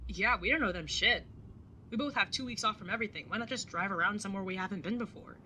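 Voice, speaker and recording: Older sister, played by a woman, audio drama: candid, casual, protective, clear-edged bright voice, faint noise behind. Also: medium pitch, fast talker, close to an everyday voice. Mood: playful, casual. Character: apologetic, protective older sister on a road trip. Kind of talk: audio drama acting with first-person narration.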